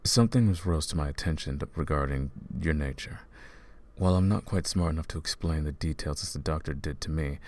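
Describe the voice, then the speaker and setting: A man voicing a brooding vampire hunter. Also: very deep voice. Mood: wry. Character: brooding male vampire who hunts vampires. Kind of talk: ASMR roleplay audio.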